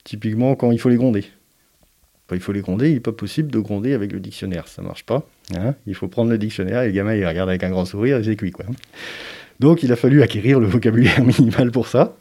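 A man speaks low and soft-toned in an interview, reflecting slowly on family life. He pauses often and fills gaps as he searches for words, his timbre warm and dark. The delivery stays thoughtful and unhurried. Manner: hesitant, thoughtful, frequent fillers. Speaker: interviewee, parent.

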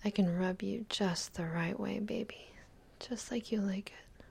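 Young woman, intimate roleplay, slow husky whisper with a gravelly edge. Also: medium pitch, fairly even intonation. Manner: mostly whispering, soft and teasing. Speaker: audio roleplay performer.

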